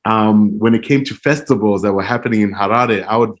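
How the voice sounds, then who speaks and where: A man from Zimbabwe, answering interview questions in an earnest, reflective way with frequent soft laughs. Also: lowish voice, brisk. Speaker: interview guest, music publishing director.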